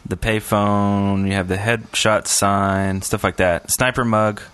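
A man on a podcast panel, chatting casually in a halting, agreeable way. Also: fairly low pitch, steady tone, a bit bright, clean tone. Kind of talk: casual chat.

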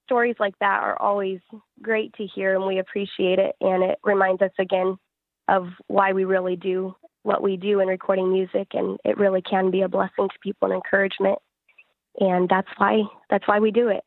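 A woman answers interview questions as a singer-songwriter in a conversational way. Her pitch moves very little, staying even from phrase to phrase. The audio is narrow and phone-like, with a dry sound. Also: brisk, no echo.

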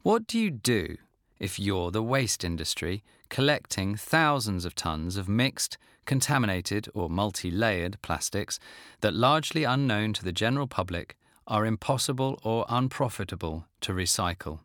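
Matter-of-fact man, low and dry. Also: big pitch swings, fairly bright tone, dry recording. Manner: matter-of-fact, lucid, measured. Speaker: audiobook narrator. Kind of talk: scripted nonfiction narration.

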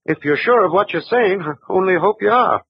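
A wary old rifle-toting codger. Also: male voice, high-pitched, lively intonation, a little fast, vocal fry, husky, no room sound, full character voice. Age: older. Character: suspicious old rural man with a rifle. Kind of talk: 1940s radio acting. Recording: dull old radio broadcast.

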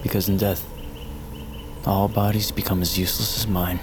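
An actor playing a brooding, wounded young outcast in a fantasy audio drama, halting and intense. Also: male voice, low voice, flat delivery, noisy background, natural voice. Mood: melancholy. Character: brooding, wounded young outcast of mountain folk, finding resolve. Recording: loud music behind the voice. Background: dramatic music.

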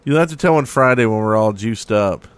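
A man's voice: on the low side, animated, fairly quick, clean tone, no room sound. A man hosting a podcast in casual, joking chat. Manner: joking, playful. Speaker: podcast host. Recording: music playing behind the voice.

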